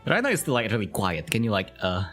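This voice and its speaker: A man's voice: lowish voice, huge pitch swings, fast talker, a bit bright, faint music behind. Giggly man hosting a chat stream. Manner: giggly, filler-heavy, lots of "like". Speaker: interview show host. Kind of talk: casual chat over a video call.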